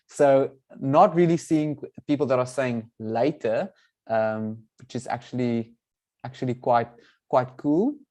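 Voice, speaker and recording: Mellow-voiced Afrikaans-speaking young man hosting. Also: medium pitch. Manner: friendly, filler-heavy, restarts phrases. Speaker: event host, product manager. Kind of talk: webinar introduction and audience poll.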